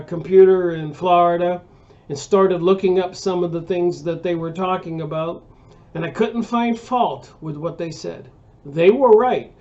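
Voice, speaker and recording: A man's voice: fairly high pitch, dull audio. Rambling American man in his sixties. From United States. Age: 60s. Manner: rambling, with many restarts and fillers. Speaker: book review channel host. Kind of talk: casual monologue.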